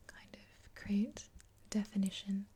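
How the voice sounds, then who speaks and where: Casting: woman in her twenties, soft husky whisper, subdued intonation, casual ASMR makeup-artist roleplay. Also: medium pitch, level tone, slow. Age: 20s. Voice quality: breathy whisper. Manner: soft, gentle, rambling.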